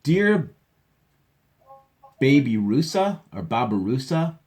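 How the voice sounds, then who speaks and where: A man's voice: medium pitch, very animated, slow, a little mellow, voice pushed up. A man reading as a curious, blunt letter-writer who puts wondering questions to odd storybook animals with exaggerated delivery. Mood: nosy wonder. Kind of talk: children's storybook read-aloud.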